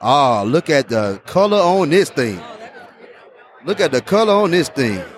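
A man's voice: a little high, big pitch swings, a little slow, a little hiss or hum. A man hosting a casual beer tasting vlog, enthusiastic and repeating his phrases. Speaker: host.

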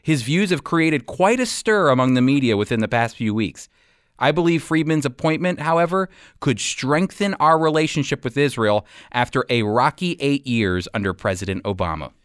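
An American man hosts a radio Bible program with earnest, emphatic phrasing. His mid-pitched voice rises and falls widely as he teaches. The recording is close and dry. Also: expressive, normal speed, smooth, no room sound.

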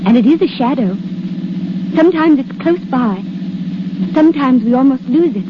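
A dreamy young girl, entranced and romantic, in a 1930s radio play. Her voice sits fairly high. The vintage broadcast is dull and clearly noisy. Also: lively intonation, moderate pace. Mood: dreamy, wistful. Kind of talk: 1930s radio drama acting.

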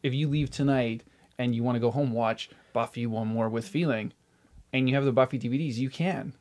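A man's voice: medium pitch, brisk, a little mellow. A man in a casual interview as the guest, an opinionated enthusiast who likes explaining details. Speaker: interview guest, TV DVD website founder.

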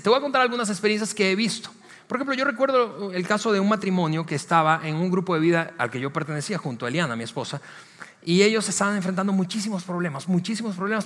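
Bright-voiced Venezuelan man preaching in a reverberant hall. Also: high-pitched. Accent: Venezuelan Spanish. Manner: emphatic, repeats key phrases. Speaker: church pastor. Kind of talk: sermon, conversational.

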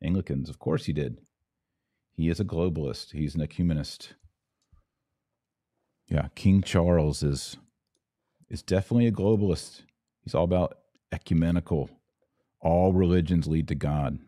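American man, very low voice, mellow tone, opinionated podcast host, conversational delivery, emphatic repetition, close dry microphone. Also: normal speed, pauses often, no echo. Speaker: podcast host taking live viewer comments. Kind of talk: live political commentary, reading and answering comments.

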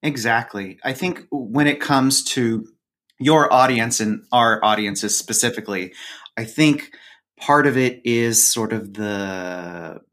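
A man's voice: medium pitch, slow, fairly bright tone. A man being interviewed as a fellow podcaster, chatting informally with a wry, joking manner. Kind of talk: casual conversational interview chat.

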